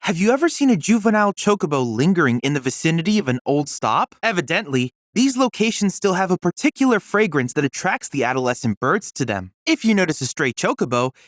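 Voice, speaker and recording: A man's voice: a little high, big pitch swings, fast, hardly any pauses, dry recording, clean studio sound. A young man playing an earnest, scholarly android boy research assistant in a video game, speaking with formal, precise, courteous diction. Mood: helpful, informative. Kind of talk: scripted video game dialogue.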